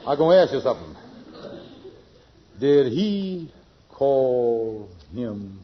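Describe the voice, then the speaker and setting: An actor playing an excitable, bumbling schemer in an old radio sitcom, stammering and repeating himself in folksy, ungrammatical speech. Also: male voice, mid-range voice, slow. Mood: bewildered, insistent. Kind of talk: old-time radio comedy acting. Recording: dull, faintly noisy vintage radio recording.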